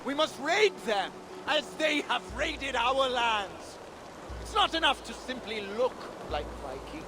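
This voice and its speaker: A man's voice: very high voice, big pitch swings, unhurried, exaggerated voice. An actor playing a greedy, domineering leader of false Vikings in a video game, declaiming like a man rallying his troops. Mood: fervent, scornful. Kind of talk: video game cutscene acting. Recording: noisy outdoor game ambience.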